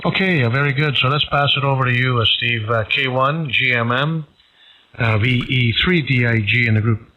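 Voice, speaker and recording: A man chatting casually over amateur radio, halting and hesitant as he goes. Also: mid-range voice. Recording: dull band-limited radio transmission.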